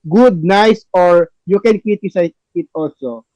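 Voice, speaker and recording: A man with halting, repetitive, non-native phrasing, narrating a play reading and chatting with the cast as podcast host. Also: high voice, darkish tone, no room sound, rough recording.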